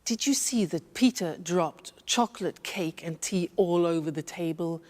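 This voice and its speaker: A woman presenting a language-teaching programme, reading a clear scripted introduction to viewers. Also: on the low side, a little slow, a little mellow. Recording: long hall-like reverb.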